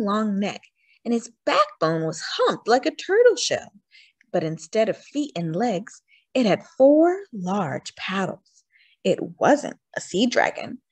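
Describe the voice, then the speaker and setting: A woman narrates a storybook for young children. Her delivery is animated, with lots of melodic movement and stretched words for emphasis. The sound is dry, with little room around it. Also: fairly high pitch, dry recording. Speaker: storytime reader. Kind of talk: expressive children's read-aloud narration.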